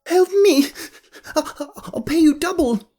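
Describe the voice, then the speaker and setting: A man's voice: very high voice, animated, takes it slowly, mellow, heavily acted. An actor playing a cowardly, greedy middle-aged male noble who frets and stammers, then breaks into panicked pleading in a fantasy audio drama. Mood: terrified.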